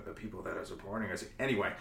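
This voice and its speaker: A man playing a bumbling, excitable male panhandler who rambles and yells in improv comedy. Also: low voice, racing, gravelly, recorded from a distance, full character voice. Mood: rambling. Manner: rambling, excitable, prone to yelling. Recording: echoey room, off-mic, faint noise.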